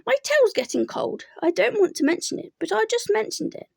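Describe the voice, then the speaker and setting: A woman's voice: very high voice, sing-song, fairly quick, vocal fry, darkish tone, voice pushed up, exaggerated voice. A woman voicing a gloomy, self-pitying old male donkey who grumbles. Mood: grumbling.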